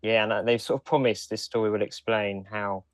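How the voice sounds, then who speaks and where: A man's voice: fairly low pitch, flat delivery, brisk, dry recording. A man co-hosting a podcast in casual conversation. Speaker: podcast co-host. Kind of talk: casual chat.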